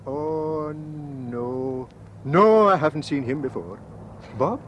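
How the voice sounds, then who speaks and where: A man's voice: on the high side, expressive, slow, fairly bright tone, a little hiss or hum. An actor playing a genial older island father in a radio drama. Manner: genial, easygoing.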